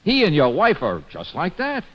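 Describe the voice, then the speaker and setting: An actor playing a sardonic, exasperated private eye, tough, slangy and gruffly impatient, in an old-time radio drama. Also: male voice, a little high, huge pitch swings, normal speed. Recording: muffled old radio recording.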